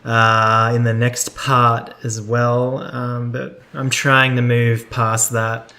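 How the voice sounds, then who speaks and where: A man's voice: mid-range voice, completely flat delivery, slow, pushed and bright. A man giving casual, explanatory gameplay commentary as he plays through a video game. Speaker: gamer narrating a let's play.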